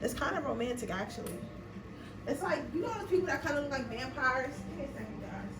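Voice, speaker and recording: A woman in her twenties with a raspy voice, chatting casually and rambling informally as she gives a tarot reading. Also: high voice, expressive, fast. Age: 20s. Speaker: tarot reader. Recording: noisy room, voice a bit far from mic.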